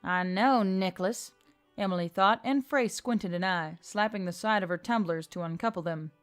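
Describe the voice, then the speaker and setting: A woman's voice: on the low side, brisk. A woman reading a scripted fantasy story as an audiobook narrator. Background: music.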